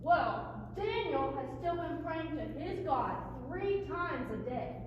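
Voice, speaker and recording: A woman's voice: sits high, lively intonation, slow. A woman playing a stern, impatient, scheming royal advisor in a scripted puppet play. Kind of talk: scripted church puppet show acting. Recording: very echoey hall, distant, muffled, noisy.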